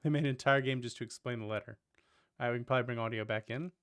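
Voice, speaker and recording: A man's voice: medium pitch, fairly even intonation, very fast, fairly bright tone, clean tone, no echo, studio quality. A man doing casual livestream commentary with wry, joking asides. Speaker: streamer.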